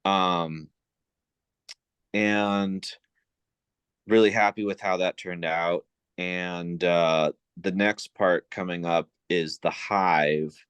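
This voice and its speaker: Slow, low-voiced man with a smooth tone. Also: steady tone, a bit bright, no echo. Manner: unhurried, many ums and uhs. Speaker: composer and sound designer guest. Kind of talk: casual interview talk.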